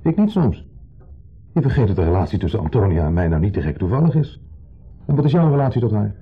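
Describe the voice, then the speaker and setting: Provocative ex-husband guest, wry, deliberate, breathy rasp, 1970s radio drama, dull muffled recording. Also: male voice, on the low side, sing-song, exaggerated voice. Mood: challenging. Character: wistful ex-husband, probing, provocative unwelcome guest.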